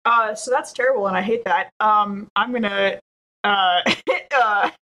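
Woman playing an rpg, normal pitch, jokey and wry, just chatting through her moves. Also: a little slow, pushed and bright, low-quality recording. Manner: playful, wry. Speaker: player in a tabletop game. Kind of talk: casual, jokey game table chat.

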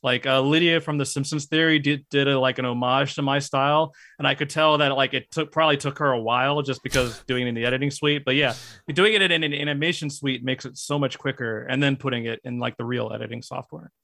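A man in casual chat who talks in a steady, even way, with lots of likes and fillers. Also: mid-range voice, quick pace, gravelly, dry recording. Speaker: podcast guest, YouTuber. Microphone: close mic, hands bump it.

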